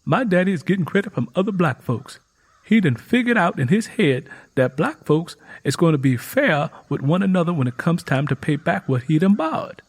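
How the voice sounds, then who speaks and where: An eager, pleading Southern country girl played by a man in an audiobook. The voice is pitched high and a little husky, with chatty, folksy rural phrasing. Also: sing-song, a little fast. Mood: earnest, chatty. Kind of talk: audiobook character voice.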